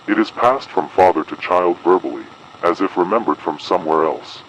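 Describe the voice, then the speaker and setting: A man playing an official announcer delivering a measured, formal, ominous emergency advisory in an analog horror broadcast. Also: sits low, muffled recording, slight background noise. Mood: grave, ominous. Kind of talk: scripted analog horror public broadcast narration.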